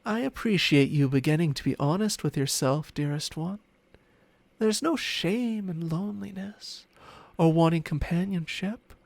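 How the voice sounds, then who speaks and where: A man playing a smug, possessive ancient dragon familiar in an intimate ASMR roleplay, teasing and doting in breathy murmurs that slip into whispers. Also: a little high, unhurried, no echo. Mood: tender, wistful. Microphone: close-up microphone with spatial panning.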